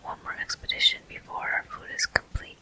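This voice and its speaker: A person whispering unhurried ASMR commentary as the host of a video game walkthrough, in a soft, breathy, unvoiced voice. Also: soft background music.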